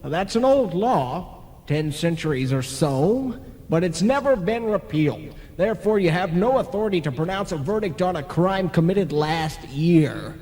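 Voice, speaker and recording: An actor playing a brash, wily ghost lawyer, theatrical and self-assured, in an old-style radio play. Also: male voice, high voice, expressive, measured pace, dark tone. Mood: triumphant. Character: brash, wily male ghost lawyer, newly dead, cocksure. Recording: long hall reverb.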